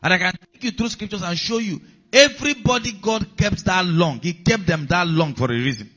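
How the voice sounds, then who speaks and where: A man's voice: sits high, lively intonation, brisk, fairly bright tone. A man preaching a sermon, repeating key lines and checking in with the congregation. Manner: preaching with repetition and call-outs to the congregation. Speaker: pastor. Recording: dull lo-fi sound.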